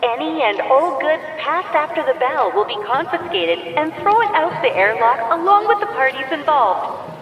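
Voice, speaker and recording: A woman's voice: high voice, very animated, normal speed. A woman playing a stern, blunt public-address announcer at a space trade plaza in a comedy audio drama. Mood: officious, blunt. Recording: echoey reverberant hall, muffled public-address sound.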